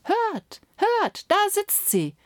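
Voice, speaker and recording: A woman voicing a poor little kitchen girl who talks high, soft and earnest. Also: wildly swooping pitch, drawn-out words, dry recording, studio quality, full character voice. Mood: eager.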